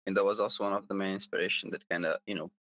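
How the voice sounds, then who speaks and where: A man from Afghanistan whose first language is Pashto, answering interview questions in a halting way with restarts. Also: low-pitched, fairly even intonation, highs cut off. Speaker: app co-founder, guest.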